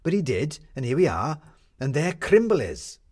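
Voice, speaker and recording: A man's voice: a little high, very animated, normal speed, darkish tone, slightly rough, voice pushed up. A man voicing a commanding, wry woman reporter in a story, articulate and sardonic as she lectures. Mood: scornful.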